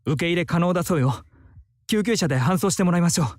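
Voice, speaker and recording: A brisk clinic colleague for a visual novel game. The male voice is high and races along efficiently, recorded very dry. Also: expressive, dry recording. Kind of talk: Japanese visual novel voice acting.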